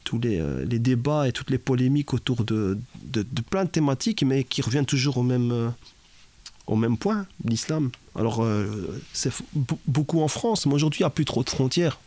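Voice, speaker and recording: A man's voice: medium pitch, expressive, normal speed, a little mellow, no echo. A man from Belgium talks in a podcast conversation, his voice in a middle register. He hesitates often and fills gaps with fillers, and the recording is dry with no room sound. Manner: hesitant, searching for words, many fillers. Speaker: guest sharing his experience.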